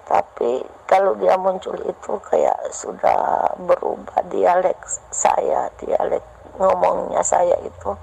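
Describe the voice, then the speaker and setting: A middle-aged woman speaking as a caller asking a spiritual teacher for advice, halting and earnest, pleading as she confides. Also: fairly low pitch, creaky, darkish tone, a little hiss or hum, rough recording. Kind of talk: personal confession and plea.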